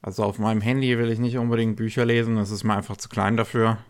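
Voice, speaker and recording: A man with a cold hosts a relaxed news podcast. His voice sits a bit low, and he talks casually with lots of fillers and restarts. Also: fast, clean tone. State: has a cold. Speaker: podcast host.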